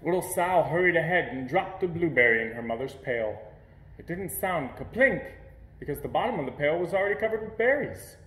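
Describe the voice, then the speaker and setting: A man reads a picture book aloud to children in a roomy, echoing space. His voice sits a little high and moves up and down in lively swings, with an expressive storyteller's delivery. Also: fairly quick. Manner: expressive storytelling with playful sound words. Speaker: storybook reader.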